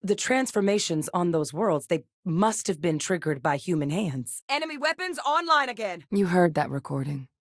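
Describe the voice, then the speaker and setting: An actress playing a steady, guarded former soldier turned scientist in a video game, speaking in a measured, matter-of-fact way and barking orders in combat. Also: female voice, on the low side, no echo, clean studio sound. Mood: combat shouts.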